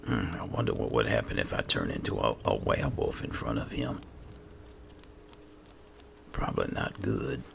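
A man doing roleplay commentary over a video game, halting and musing to himself as he plays. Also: extremely low pitch, very animated, vocal fry, background noise. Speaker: gamer narrating in character as he plays. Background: game music.

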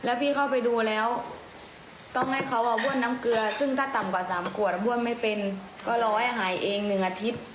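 A woman in a mother's role, telling simple stories to a small child in a casual, coaxing way through gentle questions. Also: on the high side, fast talker. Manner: coaxing, question-led, with polite particles. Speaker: mother prompting her young child. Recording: echoey room with clear background noise.

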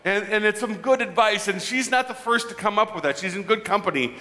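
A man in his forties preaching a conversational sermon as a pastor, animated and joking with his congregation. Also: high voice, brisk. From Midwestern United States. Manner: animated, gestures broadly, jokes with the congregation. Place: large church sanctuary. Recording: long church reverb.

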